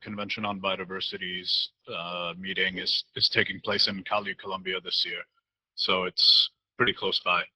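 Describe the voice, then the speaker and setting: A man on a seminar panel giving brief, low-key information. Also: flat delivery, moderate pace, bright, forceful tone, slightly rough, poor audio. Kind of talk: panel discussion.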